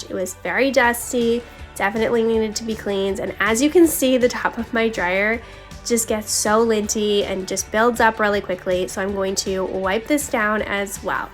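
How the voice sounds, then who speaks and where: A woman's voice: a little high, fairly bright tone. A woman giving a casual, confiding voice-over narration as a home vlogger, talking straight to viewers with a slightly scratchy voice. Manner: chatty, confiding, addresses viewers directly. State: slightly scratchy voice, needs to clear throat. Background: background music.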